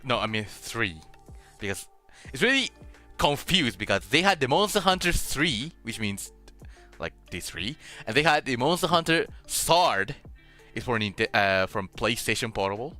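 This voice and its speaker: A man hosts a relaxed streamed radio chat as a native Japanese speaker. His voice is bright and swings in pitch while he pauses and searches for words. Music plays faintly underneath. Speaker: host of a streamed radio show. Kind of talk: casual chat, switching between English and Spanish.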